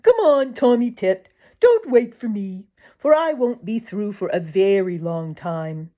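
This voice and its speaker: Storybook woodpecker, acted by a woman: hungry, big-hearted fellow, loud hearty mumble through a stuffed beak. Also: medium pitch, lively intonation, a little slow, voice pushed up, lightly acted. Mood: hungry, generous. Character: hungry, gruffly generous male woodpecker, mouth full. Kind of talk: children's storybook character voice.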